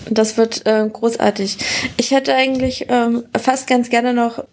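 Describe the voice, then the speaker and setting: A woman's voice: a little high, little pitch movement, unhurried, darkish tone, slight background noise. A woman, a biologist co-hosting a podcast, talking in a rambling way with many fillers and dry humor. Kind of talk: casual science chat.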